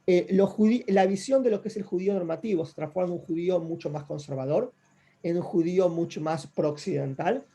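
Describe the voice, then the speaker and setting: A man's voice: sits high, brisk, dark tone, husky. A man giving an academic talk over a video call, speaking thoughtfully with repeated phrases and many hesitations. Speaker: guest lecturer. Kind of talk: academic talk with audience questions.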